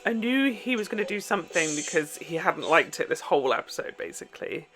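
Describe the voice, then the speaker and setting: A reaction host giving casual, emotionally expressive commentary full of exclamations while watching a TV show. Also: mid-range voice, brisk, soft background music, poor audio.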